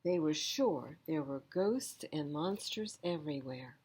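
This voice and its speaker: A woman storyteller reads a picture book for children. Her voice is low, mellow and slightly husky, with expressive, lively intonation. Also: measured pace.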